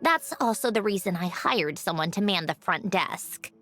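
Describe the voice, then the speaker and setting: Haughty, lively-pitched mechanical villainess. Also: female voice, normal speed, soft background music. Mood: sly. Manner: haughty, crisp, dryly sardonic. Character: haughty doll-like mechanical young woman, sardonic villainous aristocrat. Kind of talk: video game voice acting.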